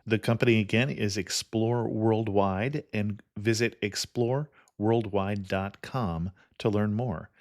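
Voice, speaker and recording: Travel podcast guy, mid pitch, reads ads upbeat, super dry close mic. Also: a little mellow, no echo. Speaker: podcast host. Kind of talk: podcast host reading ads and interviewing.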